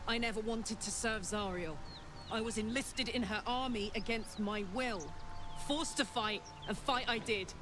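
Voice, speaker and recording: A woman's voice: a little high, fairly even intonation, unhurried, over music, close to an everyday voice. A woman playing a brash, battle-hardened warrior on the run from devils in a video game, with a blunt, cocky, sweary manner. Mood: solemn. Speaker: video game companion character. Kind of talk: dramatic video game voice acting. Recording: game audio with clear background noise.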